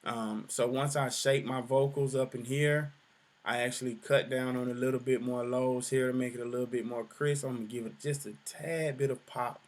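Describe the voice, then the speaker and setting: A young adult man with a deep voice giving a relaxed music production tutorial, full of fillers. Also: moderate pace. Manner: relaxed explaining, many fillers. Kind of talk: screen-share tutorial explanation.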